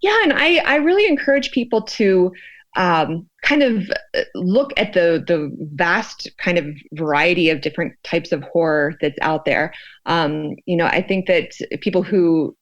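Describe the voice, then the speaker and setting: A woman's voice: on the low side, lively intonation, a little slow. An American woman talking conversationally as an expert interview guest, feeling her way thoughtfully through her phrasing. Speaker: expert guest, sociologist researching fear.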